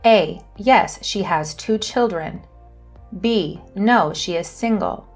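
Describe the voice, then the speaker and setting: A woman hosting an English lesson, reading questions and answers slowly and carefully. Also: medium pitch, faint music behind. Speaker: English teacher and channel host. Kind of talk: scripted lesson narration.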